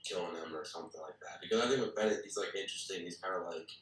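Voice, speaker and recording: A man with a low voice talks through ideas casually in a group discussion. His phrasing is loose and tentative, full of small hedges. He sounds distant from the microphone in an echoey room. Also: very fast, a bit bright. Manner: hesitant phrasing, hedging with many "like" and "kinda". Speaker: participant giving script feedback at a table read. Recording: echoey room, far from the mic.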